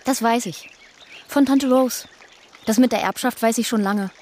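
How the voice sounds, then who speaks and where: A woman's voice: a little high, normal speed, darkish tone, a little hiss or hum, lightly acted. A female performer playing a precocious, unsentimental little girl sleuth in a radio play, matter-of-fact and know-it-all. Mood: smug.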